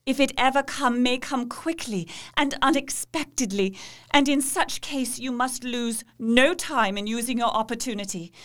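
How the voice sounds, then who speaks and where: A woman playing Mina, a devoted young wife, speaking with slow, solemn, pleading resolve in a radio play. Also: high voice, a bit bright. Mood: urgent.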